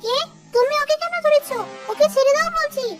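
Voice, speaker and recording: Woman as a very high, quick, clever mother bird. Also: loud music behind the voice. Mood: alarmed, demanding. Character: clever, bold female bird bargaining for freedom. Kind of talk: children's cartoon voice acting.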